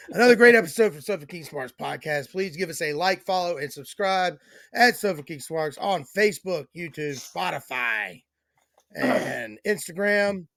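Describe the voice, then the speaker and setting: A man's voice: fairly high pitch, lively intonation, unhurried, vocal fry, a bit bright, no room sound, studio quality. A man hosting a wrestling podcast in laid-back, slangy casual chat. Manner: laid-back, loose, slangy, lots of fillers. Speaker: podcast host.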